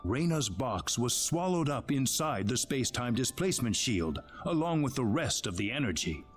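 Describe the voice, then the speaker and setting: Man playing a serious researcher, expressive pitch. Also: faint music behind, natural voice. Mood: solemn explaining. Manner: measured, expository. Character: serious, knowledgeable researcher man, gently explaining. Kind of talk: video game dialogue acting.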